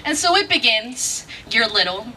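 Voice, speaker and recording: Bright-voiced woman performing spoken word, distant mic. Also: on the high side, sing-song, normal speed. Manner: rhythmic, emphatic, dramatic delivery with repeated phrases. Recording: distant mic with faint background noise.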